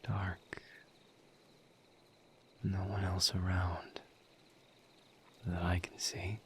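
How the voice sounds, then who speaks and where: Casting: male actor as gentle misery demon for audio roleplay; low, breathy whisper, extremely slow and soothing. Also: flat delivery, a little hiss or hum, full character voice. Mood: gentle, consoling. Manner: slow, halting, soothing, with long pauses.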